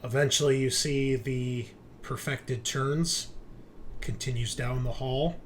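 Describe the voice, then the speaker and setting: A man running a tabletop roleplaying game as game master, narrating in an improvised, deliberate way with pauses. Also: mid-range voice, fairly even intonation, a little hiss or hum.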